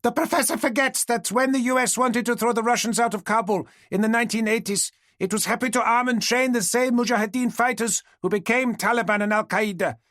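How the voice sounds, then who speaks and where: Man reading as a shrill young woman agitator in an audiobook, high and loud, defiant. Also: fairly quick, few pauses, no echo, studio quality. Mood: accusing. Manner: shrill, yelling accusations.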